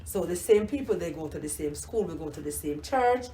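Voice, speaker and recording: A woman's voice: lowish voice, animated, fairly quick, roomy sound, a little hiss or hum. An older grandmother from Jamaica with a Jamaican accent, reminiscing in a casual interview and often repeating herself. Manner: warm reminiscing, repeats words, many "you know"s. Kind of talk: casual oral history interview.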